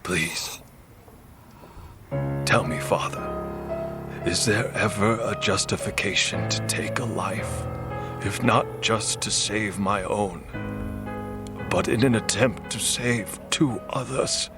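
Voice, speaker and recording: A man playing a troubled, pleading man in an audio horror drama, speaking deliberately and dramatically. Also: on the low side, over loud music. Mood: remorseful, weary. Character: troubled man, pleading, elderly and dying in later scene.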